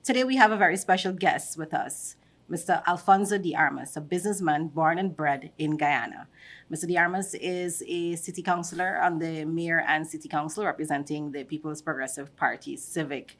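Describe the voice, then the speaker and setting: Husky low-voiced woman hosting. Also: moderate pace, fairly bright tone. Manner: polished, welcoming introductions. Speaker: podcast host. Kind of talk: podcast interview hosting.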